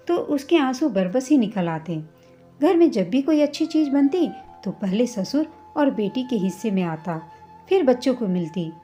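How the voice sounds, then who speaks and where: A woman's voice: fairly high pitch, mellow. A woman narrating a scripted family story as a storyteller. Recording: slightly dull, muffled recording. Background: background music.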